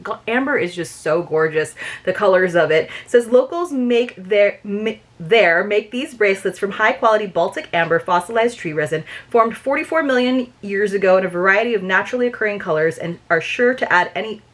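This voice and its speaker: A woman talks through a subscription box in an easy, chatty way, full of fillers and asides to her viewers. She sounds eager and upbeat, and she slips into a steadier reading voice for printed passages. Her voice sits at an ordinary middle pitch. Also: expressive, fairly quick, few pauses, fairly bright tone. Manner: chatty, many "like" and "you guys" fillers; enthusiastic. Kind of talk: casual unboxing vlog, reading booklet aloud.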